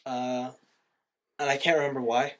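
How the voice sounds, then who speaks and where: A man's voice: mid-range voice, level tone, normal speed. A man hosting a casual movie review podcast, giving opinions and often rewording himself as he goes. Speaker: podcast host.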